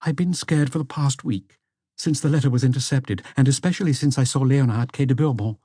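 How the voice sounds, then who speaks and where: A man's voice: mid-range voice, a little fast, mellow, no echo, studio quality, voice pushed up, natural voice. A man voicing an anxious woman under questioning in a mystery audiobook, hesitant and defensive. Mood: frightened, confessing.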